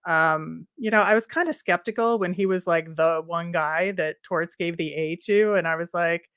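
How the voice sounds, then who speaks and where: A woman co-hosting a sports podcast, talking through points in a casual, measured, conversational way. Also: lowish voice, a bit bright, no echo, studio quality. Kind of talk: casual hockey discussion.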